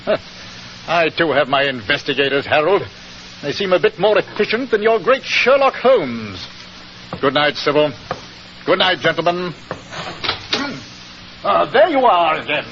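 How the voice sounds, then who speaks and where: An actor playing a sneering aristocratic villain, pompous and sarcastic, in 1940s radio drama style. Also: male voice, on the high side, sing-song, measured pace, pauses often. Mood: smug mocking. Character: haughty, sneering aristocratic male villain, scheming and contemptuous. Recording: muffled, noisy 1940s radio recording.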